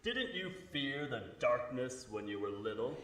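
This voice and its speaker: A man's voice: medium pitch, big pitch swings, a little slow, sharp tone, roomy sound, recorded from a distance, slight background noise. An actor playing a mocking invisible male crime-fighter in 1940s radio style, with a taunting, menacing delivery. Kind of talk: 1940s radio drama acting.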